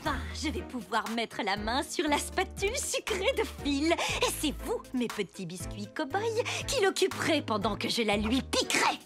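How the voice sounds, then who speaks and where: Cartoon villain: scheming sweet-thief, theatrical and gloating, swooping delivery with music underneath. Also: female voice, high voice, huge pitch swings, moderate pace, few pauses. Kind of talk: children's cartoon dub acting. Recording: loud music behind the voice.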